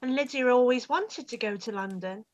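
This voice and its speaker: A woman's voice: on the high side, moderate pace, lo-fi sound, natural voice. A woman playing a petulant, easily upset teenage daughter in a radio play, whiny and protesting. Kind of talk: radio play live read.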